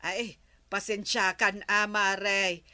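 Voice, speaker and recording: A woman's voice: medium pitch, little pitch movement, slow pace, sharp tone, smooth. An actress playing a defensive, chatty village woman with an Ilocano Filipino accent and a plaintive way of protesting in a radio comedy drama.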